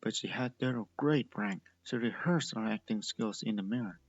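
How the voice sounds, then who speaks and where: A man's voice: fairly low pitch, normal speed, muffled recording. A man reading a story aloud as the narrator. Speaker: fan fiction reader and narrator.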